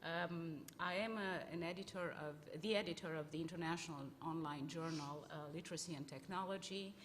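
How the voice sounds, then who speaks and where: A woman's voice: sits low, brisk, a little hiss or hum. A woman with a Romanian accent, a communication professor introducing herself on a conference panel in a self-assured, emphatic way. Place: conference room.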